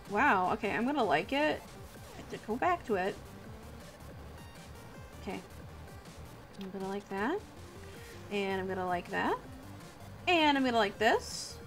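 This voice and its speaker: A woman's voice: fairly high pitch, a bit bright. Female livestreamer, informal and encouraging, talking off the cuff over background music. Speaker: livestream host and digital artist. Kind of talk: casual livestream chat while drawing. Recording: music playing behind the voice.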